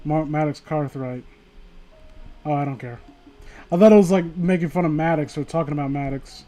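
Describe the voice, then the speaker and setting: A man with a notably high voice reads aloud to a livestream in a loose, informal way. He rambles, swears freely and often drops a sentence halfway to add mocking commentary. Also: normal speed, dark tone, faint music behind. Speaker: streamer reading stories aloud to viewers.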